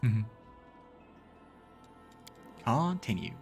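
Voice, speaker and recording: A man's voice: mid-range voice, very animated, drawn-out words, vocal fry, a little mellow. Casting: male gaming host, mid-range voice, commentary and narration over faint music. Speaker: let's play host. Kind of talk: game commentary and read-aloud narration.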